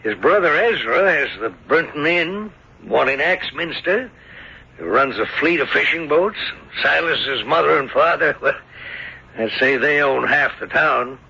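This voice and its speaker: An actor playing a folksy, grumbling farmer with a gravelly voice and a rustic, gossipy manner in an old radio drama. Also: male voice, on the high side, lively intonation, measured pace, bright, forceful tone, full character voice. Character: folksy, grumbling male farmer, father of grown son. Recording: dull, muffled old radio recording.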